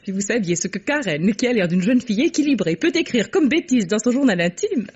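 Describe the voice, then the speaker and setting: A woman's voice: on the high side, very animated, fairly quick, a little mellow, breathy edge. An actress playing a fussy, chattering middle-aged society mother who talks in an affected, gushing way, laughing as she speaks, in an old radio play. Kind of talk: 1950s radio drama acting. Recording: dull old radio recording.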